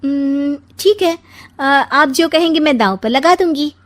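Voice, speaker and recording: Woman doing perky young daughter-in-law, cheeky and cocky, high voice, cartoon comedy. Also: unhurried. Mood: eager, confident. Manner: perky, taunting delivery. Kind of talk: comic cartoon story acting.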